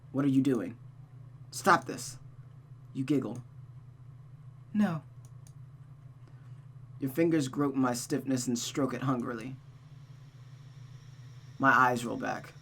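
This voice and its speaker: A reader voicing an anguished, brooding male lover from a visual novel in a slow, hushed, halting delivery, over a noisy recording. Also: mid-range voice. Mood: anguished, fearful.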